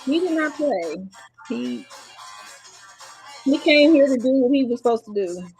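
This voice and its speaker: A woman co-hosting a podcast, chatting casually and telling personal stories in a playful, expressive way. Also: sits high, unhurried, soft background music. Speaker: podcast co-host. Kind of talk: casual chat, personal storytelling.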